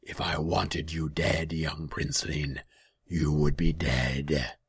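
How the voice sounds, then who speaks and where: A man voicing a scornful, imperious Rakshasa demon in a fantasy story, drawing words out slowly and contemptuously. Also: a bass voice, fairly even intonation, husky, no echo, studio quality, heavily acted. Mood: cold menace. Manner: slow, drawn-out, contemptuous.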